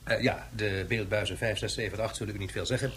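A man's voice: lowish voice, steady tone, fast, fairly bright tone, slight background noise, lightly acted. A man playing a pedantic, eager scientist in a radio drama, explaining with some hesitation. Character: pedantic male scientist, fussy and eager professor.

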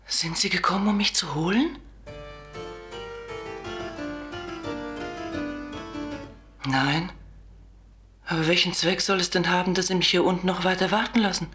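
An actress playing a mentally disturbed woman in a German radio play, theatrical and intense, shifting between hushed and insistent. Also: lowish voice. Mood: anxious pleading. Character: mentally disturbed female patient, grateful, confused, disdainful of others.

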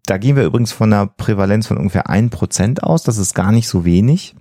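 A man explaining psychology on a podcast in a measured way, hesitating as he searches for precise wording. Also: lowish voice, warm tone, no room sound, studio quality.

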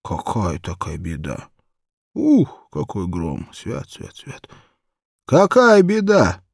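A man voicing a tipsy old village cobbler, gruff but fond, cooing and exclaiming, in a storybook reading. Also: lowish voice, wildly swooping pitch, slow pace, no echo, studio quality, exaggerated voice. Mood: alarmed. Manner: cooing baby talk, exclamatory, swooping.

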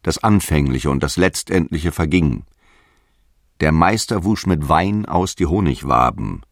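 Fervent apostle, low-pitched, dry sound, solemn, commanding, archaic radio drama preaching. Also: male voice, animated, no room sound, full character voice. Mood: solemn, prophetic. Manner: grave, commanding, measured preaching.

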